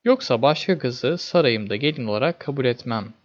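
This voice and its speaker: Storybook king, stern and commanding, lively pitch swings, played by a male narrator. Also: brisk, highs cut off, lightly acted. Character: stern exacting old king setting a bridal test.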